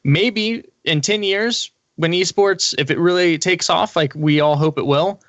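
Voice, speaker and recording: A man with a slightly higher-pitched voice talks as a podcast panelist. He explains things in a loose, rambling, conversational way, recorded dry. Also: a little slow, fairly bright tone, dry recording, lo-fi sound. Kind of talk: casual chat about gaming and esports.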